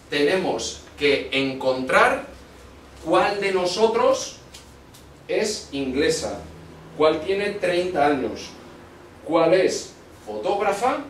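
A man in his thirties from Spain, teaching a language class with slow, clear instructions in a small classroom. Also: slight background noise. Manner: slow and deliberate, lively questioning pitch. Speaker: Spanish teacher. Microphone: far camera. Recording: echoey classroom from far off.

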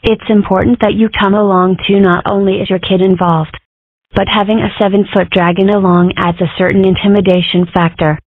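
Cartoon fox mother, woman performing: assertive, practical, wry, medium pitch, dry muffled-line sound. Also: little pitch movement, fairly quick, no room sound, natural voice. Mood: businesslike. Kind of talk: comic strip dub.

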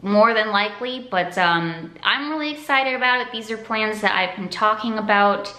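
Young American female vlogger, enthusiastic and chatty, bright tone, echoey home room. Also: mid-range voice, normal speed. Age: young adult. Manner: upbeat, enthusiastic. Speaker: vlog host. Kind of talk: casual chat to viewers. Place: indoors at home, open room with kitchen. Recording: echoey room, faint background noise.

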